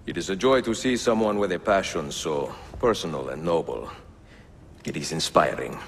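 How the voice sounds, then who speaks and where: An actor playing a courteous, gallant middle-aged Italian man in a video game, with a gravelly voice and an Italian accent. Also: sits low, moderate pace, slight background noise. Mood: gentle, admiring. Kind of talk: video game cutscene acting.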